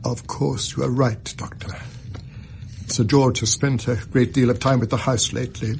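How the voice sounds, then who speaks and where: Radio drama male actor: middle-aged English Victorian banker, upright and tormented, creaky voice, formal diction, slight background noise. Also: medium pitch, flat delivery, normal speed, close to an everyday voice. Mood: troubled, candid. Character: anguished, respectable Victorian banker and father. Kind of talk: radio drama acting, narrating a confession.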